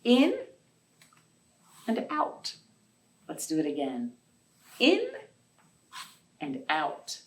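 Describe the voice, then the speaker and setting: A woman leads a storytime for young children at a very unhurried, drawn-out pace. Her delivery is sing-song and full of pitch swings, with questions put to the little listeners. She is heard in an echoey room. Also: wildly swooping pitch. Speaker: library storytime host. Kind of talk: children's read-aloud storytime with counting rhymes. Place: echoey indoor room, away from the mic. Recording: echoey room, distant microphone.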